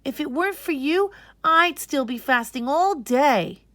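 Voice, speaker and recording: A woman's voice: sits high, lively intonation, fairly bright tone, no echo, pitched above the performer's own voice. A woman voicing an eager, pleading twelve-year-old girl in a storybook, drawing out her words. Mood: coaxing. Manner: pleading, drawn-out.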